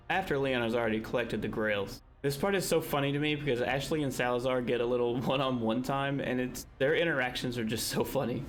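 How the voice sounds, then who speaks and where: Casual male game host over light music. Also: mid-range voice. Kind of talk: casual game playthrough commentary.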